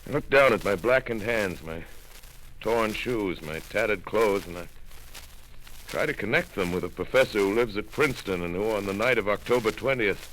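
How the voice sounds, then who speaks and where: A brooding, haunted astronomer, voiced by a man, left alone in the world. His deep, low voice halts between words as he speaks in a 1930s radio play. A faint hiss from the old broadcast sits under every line. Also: fairly bright tone, lightly acted, poor audio. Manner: brooding, halting, introspective. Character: lone shaken male astronomer, middle-aged survivor. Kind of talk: 1930s radio drama monologue.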